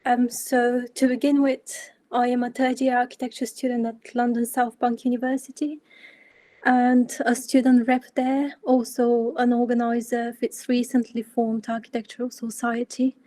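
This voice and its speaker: A young woman in her twenties from Bulgaria presenting her work in an online talk, measured, with frequent ums. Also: on the high side, flat delivery, warm tone, dry recording. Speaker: architecture student speaker.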